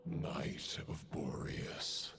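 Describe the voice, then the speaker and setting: An actor playing a solemn, proud spectral knight in a video game, with formal, archaic phrasing. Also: male voice, very slow, music in the background.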